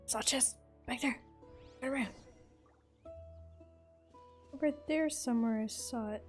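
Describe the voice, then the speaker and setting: A woman's voice: on the high side, a little slow, soft background music. A woman streaming a game, giving casual, offhand and playful commentary as she plays.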